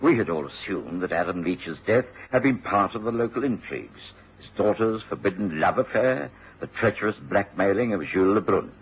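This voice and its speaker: An actor playing a genial gentleman companion who narrates a radio drama in a measured, expressive way. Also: on the low side, breathy edge, full character voice. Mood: reflective, narrating. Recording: muffled radio recording, faint noise.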